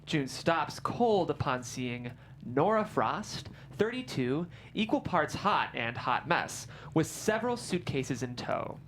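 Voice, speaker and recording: A low-voiced woman narrates a script at a table read, reading out stage directions. Her delivery is lively in pitch, with clear noise behind her. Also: slow pace. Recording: noticeable background noise.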